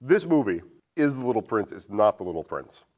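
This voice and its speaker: A man's voice: fairly low pitch, big pitch swings, fairly quick, darkish tone. A man hosting a casual movie discussion in a playful, bantering manner. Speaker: podcast host.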